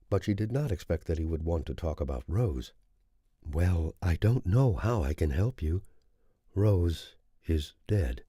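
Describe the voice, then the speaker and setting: Male audiobook narrator, dark deep tone, scripted suspense storytelling, dry studio sound. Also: no room sound. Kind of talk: scripted dramatic thriller narration.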